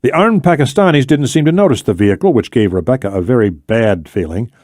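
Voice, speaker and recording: A man's voice: mid-range voice, lively intonation, fairly quick, a little mellow, no room sound. Man narrating a thriller audiobook, dry sound. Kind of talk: scripted thriller novel narration.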